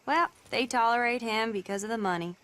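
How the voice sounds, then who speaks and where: An actress playing a spirited, idealistic young Southern belle in a period video game, with an American Southern accent and a genteel, chatty, wry manner. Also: female voice, on the high side, a little fast, fairly bright tone, natural voice. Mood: wry, confiding.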